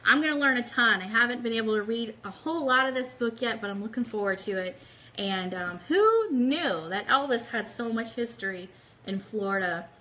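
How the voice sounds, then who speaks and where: A woman's voice: fairly high pitch, lively intonation, normal speed, pushed and bright. A woman hosting a radio show livestream, chatting casually and enthusiastically with her audience and reading aloud. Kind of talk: casual livestream chat with book read aloud.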